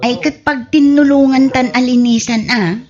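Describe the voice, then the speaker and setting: A woman with an Ilocano Filipino accent playing a chatty, helpful village wife who grumbles good-naturedly, in a husky-voiced radio comedy drama. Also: high voice, steady tone, normal speed, a little mellow, lo-fi sound. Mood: helpful, obliging.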